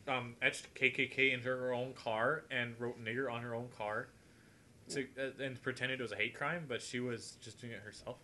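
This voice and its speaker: A man's voice: medium pitch, steady tone, moderate pace, sharp tone. A man chatting casually as a guest on an informal podcast. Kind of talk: casual chat. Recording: noisy home podcast recording.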